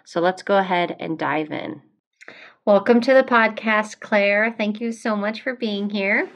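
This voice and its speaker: A woman's voice: mid-range voice, measured pace, fairly bright tone. A woman with an American accent, hosting a conversational podcast interview. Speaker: podcast host.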